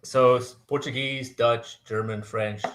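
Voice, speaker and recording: A man's voice: medium pitch, fairly even intonation, unhurried, fairly bright tone. A South African man whose first language is Afrikaans, a guest chatting casually and joking at his own expense over a video call. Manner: friendly, self-deprecating joker. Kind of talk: casual chat. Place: home, remote video call.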